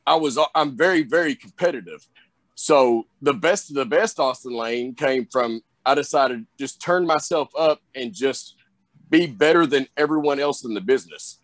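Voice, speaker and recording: A young man with a Southern drawl talks loosely through an interview, circling back with plenty of "you know"s. His voice sits at a middle pitch, and the sound is dry and close with no room around it. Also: normal speed, no echo. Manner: rambling, lots of fillers, drawling. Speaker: wrestler guest. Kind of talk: casual interview chat.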